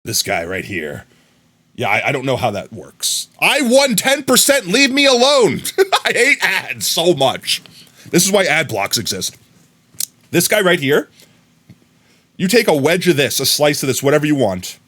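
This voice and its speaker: An American man chatting casually on a live stream, rambling and bursting into excited shouts of thanks to viewers. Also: on the high side, measured pace. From United States. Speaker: livestreamer.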